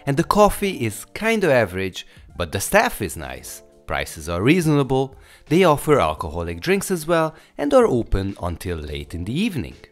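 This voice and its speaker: A man's voice: medium pitch, huge pitch swings, normal speed. A man with a Hungarian accent reading a scripted travel guide voice-over. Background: music.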